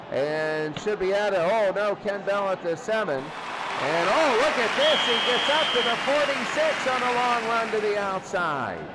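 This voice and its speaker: A man doing live play-by-play football commentary, excitable and rising to shouts on big plays. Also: high-pitched. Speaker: play-by-play announcer. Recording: loud crowd and music behind. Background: crowd noise and music.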